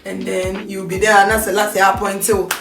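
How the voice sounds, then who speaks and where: A woman's voice: medium pitch, fairly quick, gravelly. Ghanaian woman telling stories in a roomy space. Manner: lively storyteller, laughing, acting out quoted voices. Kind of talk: casual reunion chat, reminiscing. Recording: roomy sound, mic at a distance, music behind.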